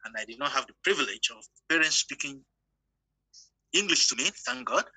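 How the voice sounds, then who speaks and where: A man's voice: mid-range voice, animated, fairly quick, bright, forceful tone, slightly rough, no echo, poor audio. A Nigerian-born man with a Yoruba accent, whose first language is Yoruba, speaks bluntly and with emphasis as a professor in an online panel talk, asking rhetorical questions.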